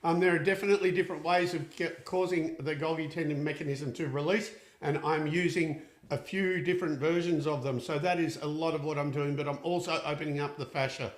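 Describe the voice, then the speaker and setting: A chatty man with a fairly high voice hosts a relaxed live Q&A, upbeat and full of fillers and restarts. The sound rings with the echo of a hard room. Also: brisk, few pauses. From Austria. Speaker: equine therapist and show host. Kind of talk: casual live Q&A chat. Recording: echoey room.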